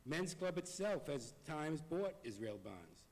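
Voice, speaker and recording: A hoarse man delivering a prepared, earnest appeal to a congregation in a synagogue. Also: on the high side, very animated, darkish tone. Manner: earnest, measured, reading from notes. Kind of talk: prepared speech. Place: synagogue sanctuary. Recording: long hall reverb.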